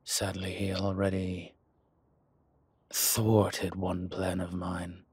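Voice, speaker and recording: A suave dragon mob boss acted by a man schemes in a deep, breathy voice. He speaks slowly and evenly, with deliberate pauses, in a close, dry audio roleplay. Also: completely flat delivery, darkish tone, no room sound, heavily acted. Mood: scheming. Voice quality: husky, breathy. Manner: measured, smooth-talking, deliberate pauses. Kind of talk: slow, intimate scripted roleplay monologue.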